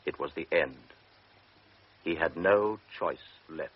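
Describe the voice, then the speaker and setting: Brief: grave male narrator, deep, husky, muffled old broadcast. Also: takes it slowly. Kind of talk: scripted radio drama narration. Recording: dull lo-fi radio broadcast.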